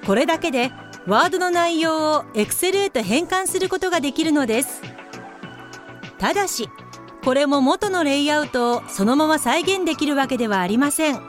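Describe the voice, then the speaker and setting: A woman's voice: sits high, lively intonation, normal speed, soft background music. A woman playing a polite, instructional narrator who explains office matters in a comic radio drama. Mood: polite, explanatory.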